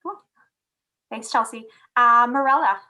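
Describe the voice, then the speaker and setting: A woman's voice: a little high, a little slow, sharp tone. A woman hosting a video call meeting, calling on people in turn with many fillers. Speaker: meeting host.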